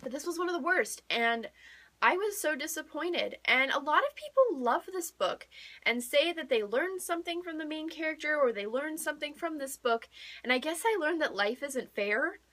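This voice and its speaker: High, bright-voiced young woman, gushing. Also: animated, moderate pace. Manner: gushing, emphatic, repeats words for emphasis. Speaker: book vlogger. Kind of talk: casual chat to camera.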